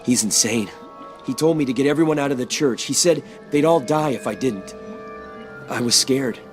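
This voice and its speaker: A man's voice: medium pitch, moderate pace, soft background music, natural voice. A man playing a frightened, guilt-ridden civilian in a video game, anxious and earnest. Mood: shaken.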